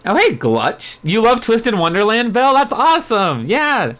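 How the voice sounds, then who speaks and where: A man hosting a livestream readalong, moving between expressive storybook narration and casual chat with viewers. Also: high voice, unhurried. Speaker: streamer host reading aloud.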